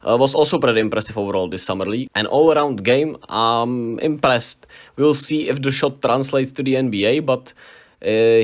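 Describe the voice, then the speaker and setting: A young man from the Czech Republic with a Czech accent, giving a casual, rambling basketball recap, enthusiastic and often correcting himself on names. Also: medium pitch. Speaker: basketball vlogger. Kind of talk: casual unscripted sports recap.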